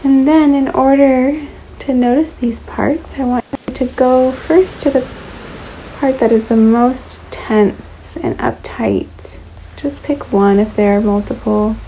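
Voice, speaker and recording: Slow, mellow woman guiding meditation. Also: high voice, steady tone, a little hiss or hum, poor audio. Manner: soothing, unhurried, with long pauses between short phrases. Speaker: meditation guide. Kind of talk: guided relaxation meditation.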